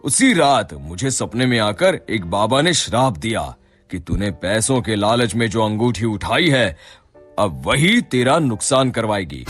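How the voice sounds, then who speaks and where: A shrewd, kindly middle-aged merchant in a cartoon who lays a sly trap in a theatrical way, voiced by a man. His voice is husky, and his pitch moves a lot. Faint music plays underneath. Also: a bit bright. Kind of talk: cartoon voice acting.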